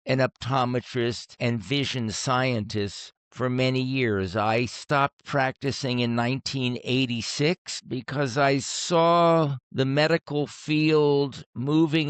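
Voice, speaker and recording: A man in his seventies from Cuba, who learned English as a third language, talking reflectively and philosophically in measured phrases as an interview guest. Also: mid-range voice, clear, resonant tone, no echo. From Cuba, then Miami in the US. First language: not English, which was a third language. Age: 70s. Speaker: podcast guest, retired optometrist and author.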